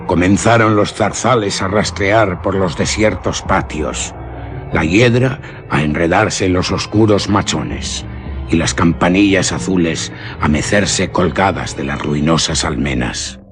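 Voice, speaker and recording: A man's voice: low-pitched, takes it slowly, over music. A man narrating a gothic horror tale for radio drama in a solemn, theatrical, literary manner.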